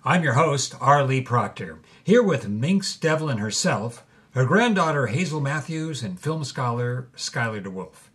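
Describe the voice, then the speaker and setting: A man's voice: mid-range voice, big pitch swings, measured pace, a bit bright. Earnest male documentary host. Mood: measured, informative. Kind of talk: scripted hosting in an audio drama.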